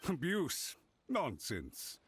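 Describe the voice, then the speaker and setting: An actor playing a smug, slippery clan retainer, oily and mock-polite, in theatrical anime game style. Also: male voice, on the high side, wildly swooping pitch, very slow, music in the background, heavily acted. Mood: smug, dismissive.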